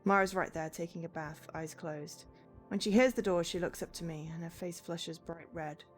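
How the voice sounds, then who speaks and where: A woman's voice: on the low side, steady tone, normal speed, soft background music. A woman game streamer chatting live and reading game text aloud, breaking off for exasperated asides.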